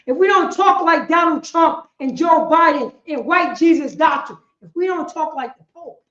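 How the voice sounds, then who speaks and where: A woman's voice: high-pitched, normal speed, a bit bright. A woman teaching a religious class in an impassioned, emphatic way, repeating phrases and asking rhetorical questions. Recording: echoey room, dull lo-fi sound.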